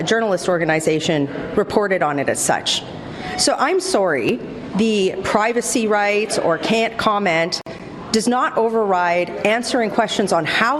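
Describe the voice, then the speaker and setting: Woman politician speaking to press, emphatic and exasperated, long reverb, faint background hum. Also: medium pitch. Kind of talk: press statement.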